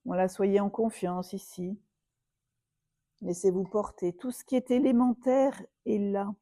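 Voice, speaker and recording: Female yoga teacher for guided relaxation: very dark, soft-edged tone, dry close sound, soothing and unhurried. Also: mid-range voice, steady tone, no echo. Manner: soothing, unhurried, with long pauses.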